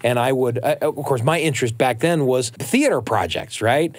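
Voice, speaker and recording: A man's voice: medium pitch, moderate pace, no echo. A man co-hosting a podcast, chatting in a casual, reflective way. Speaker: podcast co-host.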